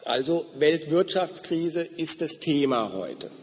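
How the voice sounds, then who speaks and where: A slightly hoarse man with a fairly high voice delivers a measured, explanatory lecture. The sound is dull and lo-fi, with a long reverb from the hall around him. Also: animated, slight background noise. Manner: measured, explanatory, with occasional fillers. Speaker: guest lecturer. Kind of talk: academic lecture.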